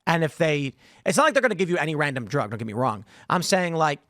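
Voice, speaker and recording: A man's voice: a little high, big pitch swings, quick pace, fairly bright tone, dry recording, studio quality. A man hosting a casual political panel show, opinionated and prone to acting out imagined exchanges. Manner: opinionated, acts out imagined dialogue. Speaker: podcast host.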